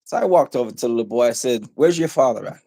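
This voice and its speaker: A man's voice: medium pitch, lively intonation, fast, dark tone. A man from Haiti who is a guest on a casual panel talk show, blunt, telling stories and acting out his own quotes.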